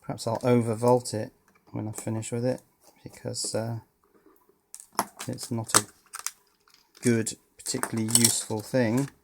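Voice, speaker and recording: A man's voice: medium pitch, little pitch movement, measured pace, gravelly, pauses often, very noisy. A man giving unscripted teardown commentary as a hobbyist presenter, thinking aloud with dry, understated humour.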